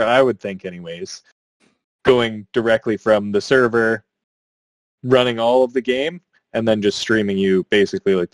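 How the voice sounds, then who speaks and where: Man, podcast co-host, lowish voice, rambling chat, dry close sound. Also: no room sound, rough recording. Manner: rambling, heavy use of "like". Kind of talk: casual chat.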